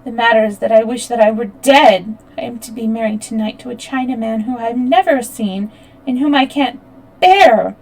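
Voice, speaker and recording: A woman reading the lines of an unhappy young bride, quick and emphatic. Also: fairly high pitch, darkish tone, lightly acted, low-quality recording. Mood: distressed, resentful. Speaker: audiobook reader. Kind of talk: read-aloud fiction dialogue.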